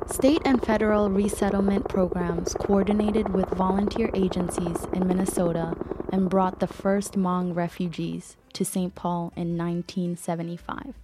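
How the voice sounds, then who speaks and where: A woman's voice: lowish voice, noisy background. A woman from Ethiopia reading scripted narration as the host of a podcast. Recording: music under the voice. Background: background music.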